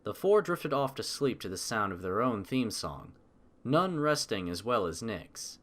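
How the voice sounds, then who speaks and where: A man's voice: fairly low pitch, big pitch swings, measured pace, a bit bright. A man reading scripted audiobook narration as the storyteller.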